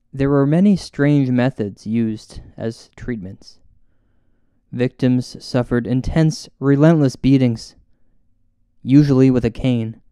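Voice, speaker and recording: A man hosting and narrating a spooky comedy as a paranormal investigator, mock-serious and deliberate in his storytelling. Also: medium pitch, dark tone, clear, resonant tone, no echo, clean studio sound. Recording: music bed under narration. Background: music.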